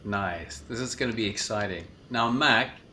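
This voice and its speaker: A man's voice: fairly low pitch, normal speed, sharp tone. A man hosting a podcast, asking curious, loosely phrased questions in a casual interview. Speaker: podcast host. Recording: faint background noise.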